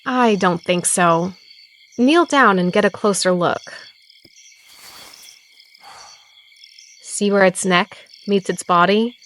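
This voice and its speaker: A woman's voice: medium pitch, unhurried, fairly bright tone, natural voice. A woman playing a practical, level-headed small-town sheriff in an audio drama, thoughtful and matter-of-fact. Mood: puzzled, analytical.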